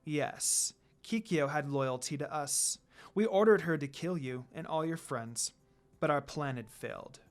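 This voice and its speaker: A man's voice: on the high side, measured pace, close to an everyday voice. A man reads the part of a scheming evil sorceress in a fan fiction story. She is smug and matter-of-fact as she threatens, with little theatricality. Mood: smug, threatening.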